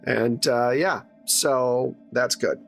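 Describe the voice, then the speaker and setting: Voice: mid-range voice, steady tone, slow, creaky, soft background music. A person narrating a tabletop horror game as game master in a casual, conversational way.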